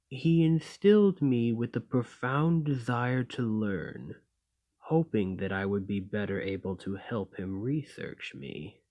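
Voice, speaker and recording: A man playing an imprisoned clay golem in an ASMR roleplay, polite and learned, speaking in formal, deliberate phrases. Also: fairly low pitch, a little mellow. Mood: earnest, pleading. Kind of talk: scripted ASMR roleplay acting.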